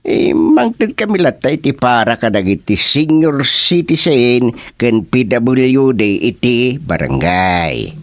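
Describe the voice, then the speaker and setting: Matter-of-fact village man, explanatory, for radio comedy-drama; male actor. Unhurried, dry close recording. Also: medium pitch, very animated, no room sound.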